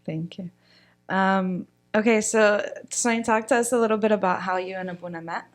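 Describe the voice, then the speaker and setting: A woman's voice: medium pitch, brisk. A woman hosting a podcast, asking questions and giving short encouraging replies. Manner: brief encouraging responses. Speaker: podcast host. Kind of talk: scripted-ish interview questions.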